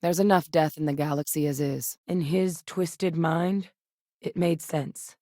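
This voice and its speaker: A woman playing a seasoned ex-military scientist in a video game, steady and earnest, with a measured, serious delivery. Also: low-pitched, no echo, studio quality. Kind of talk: video game character voice lines.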